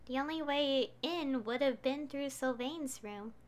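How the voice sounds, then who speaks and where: A woman's voice: high voice, unhurried. A woman streaming a video game, reading text aloud and musing over it in a thoughtful, hesitant way. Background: game music.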